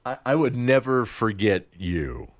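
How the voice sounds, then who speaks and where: A man's voice: mid-range voice, big pitch swings, unhurried, highs cut off. A man playing an absent-minded, overconfident producer in a comic skit, stammering and bumbling as he talks. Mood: defensive, confident. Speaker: show producer and host. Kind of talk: scripted comic skit, conversational.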